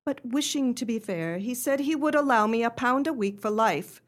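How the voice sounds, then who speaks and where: Woman acting proud wronged countess, high-voiced. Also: animated, normal speed, lightly acted. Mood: proud, resolute. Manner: formal, earnest. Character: wronged countess, proud, earnest, dignified, pleading. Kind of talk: radio play acting.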